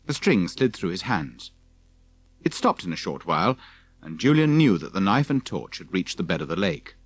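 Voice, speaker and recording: A man's voice: low voice, sing-song, normal speed, breathy edge. A man reading scripted narration from a children's adventure story as an audiobook narrator.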